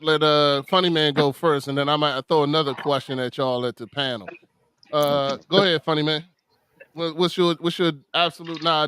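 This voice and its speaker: A man's voice: fairly high pitch, a bit bright, smooth. A man hosting a casual live panel talk show, passing questions around informally. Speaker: host moderating a panel.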